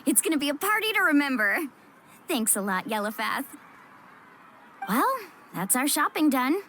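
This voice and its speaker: Casting: breezy young game heroine, high voice, lively swooping delivery, peppy and friendly. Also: female voice, moderate pace, slight background noise, heavily acted. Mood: upbeat. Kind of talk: animated game voice acting.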